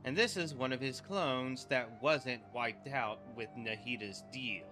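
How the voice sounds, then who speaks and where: A man's voice: medium pitch, unhurried, pushed and bright, faint music behind. Man casually commenting on a game. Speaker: gaming streamer.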